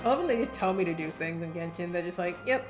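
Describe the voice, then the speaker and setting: A woman's voice: fairly low pitch, expressive, fast, reverberant. A young woman streaming a video game, giving casual, slangy, wry commentary. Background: game music.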